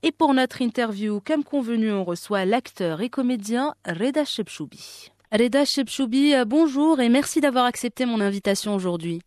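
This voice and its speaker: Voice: medium pitch, lively intonation, moderate pace, a little mellow, no echo, studio quality. An interview host asking long, hesitant questions full of fillers.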